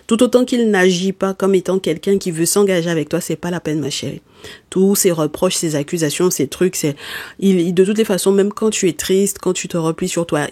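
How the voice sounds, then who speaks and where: A tarot reader who is not a native speaker talks straight to the listener and scolds them with emphasis. The voice sits in a middle pitch range. The sound is dry and close, with no room echo. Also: warm tone. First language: not French. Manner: emphatic and scolding, often checks in with "okay?"; speaks directly to listeners with terms of endearment. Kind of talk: spoken tarot reading addressed to viewers.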